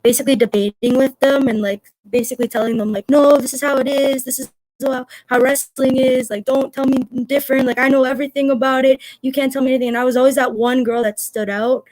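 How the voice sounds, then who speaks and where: A woman's voice: high voice, fairly even intonation, fast, darkish tone, dry recording, low-quality recording. Chatty young woman, dry close sound. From Canada. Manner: chatty, many "like" and "um" fillers. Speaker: interview guest, wrestler. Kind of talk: casual interview answers.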